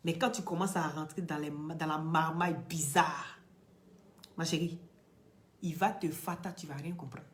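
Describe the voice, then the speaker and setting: A woman from Ivory Coast with an Ivorian French accent, giving impassioned commentary straight to the camera, preachy and emphatic, swinging from loud peaks to quiet, near-whispered asides. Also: sits low, fairly even intonation, moderate pace. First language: Dioula or another Ivorian language. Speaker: social media commentator.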